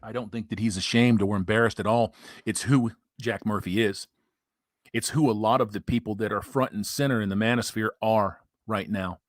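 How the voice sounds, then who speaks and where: A man's voice: fairly low pitch, no echo, clean studio sound. A man hosting commentary, ranting in a profane, emphatic, preachy way. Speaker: commentary host.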